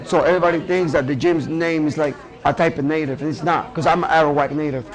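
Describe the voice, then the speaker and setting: A man's voice: fairly high pitch, steady tone, quick pace. A man who runs a fight gym, talking casually in an interview about his heritage and upbringing. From Puerto Rico. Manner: casual, repeats "you know what I mean". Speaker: MMA fighter and gym owner. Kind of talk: documentary interview, personal storytelling.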